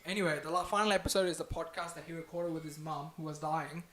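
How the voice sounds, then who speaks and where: A young man with an Australian accent hosting a casual podcast chat, excitable, swearing and laughing often. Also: fairly high pitch, fast talker. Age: young adult. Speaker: podcast host.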